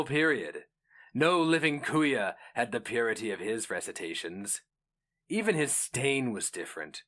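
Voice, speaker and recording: A man giving a solemn, theatrical and deliberate dramatic reading of a fantasy story. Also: medium pitch, fairly bright tone. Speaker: narrator.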